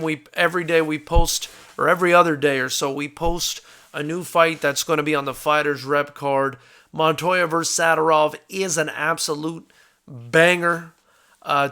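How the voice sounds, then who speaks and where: An American man with a fairly high, very bright and forceful voice hosts a combat sports show. He talks casually and conversationally to his viewers, addressing them like brothers as he gives his take on fighters. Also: clean tone. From South Florida, United States. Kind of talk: casual live fight commentary, answering viewer comments.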